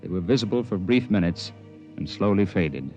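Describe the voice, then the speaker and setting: Dry-witted, doubting mountaineer in old radio sci-fi, fairly low voice, some music underneath. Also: male voice, lively intonation, moderate pace, darkish tone, dull audio. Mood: somber narration. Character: male mountain climber, wry, skeptical storyteller. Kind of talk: 1950s radio drama acting and narration.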